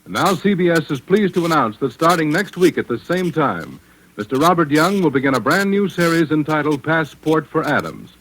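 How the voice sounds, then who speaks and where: An old radio recording with faint noise and clicks. A man with a slightly high voice makes formal, scripted network announcements in 1940s style. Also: big pitch swings, moderate pace, a bit bright, rough recording.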